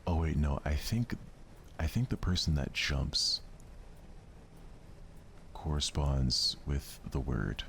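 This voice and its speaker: Guy doing asmr lets play, super low voice but moves around a lot, soft and unhurried. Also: animated, vocal fry, slight background noise. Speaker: gaming streamer playing a visual novel. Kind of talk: soft-spoken ASMR let's play commentary.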